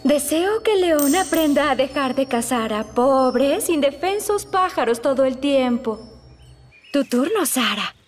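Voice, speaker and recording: Casting: kindly, superstitious cartoon mum, high lilting voice, earnest, Latin American Spanish accent, faint music behind. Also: female voice, animated, unhurried. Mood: dreamy, kindly. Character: gentle, dreamy New Age mum, superstitious and kindly. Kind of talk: children's cartoon dubbing.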